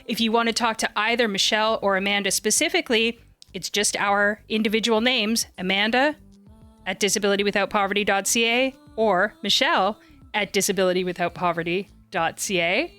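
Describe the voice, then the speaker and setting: A woman hosting a conversational podcast, often pausing, repeating and correcting herself as she goes. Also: medium pitch, pushed and bright, faint music behind. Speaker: podcast co-host.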